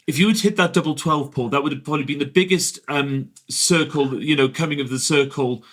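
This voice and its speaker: A man's voice: on the high side, a little fast, a bit bright. Chatty male podcast host. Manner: eager, uses many fillers and tag questions. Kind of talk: interview questions, casual chat. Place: podcast studio.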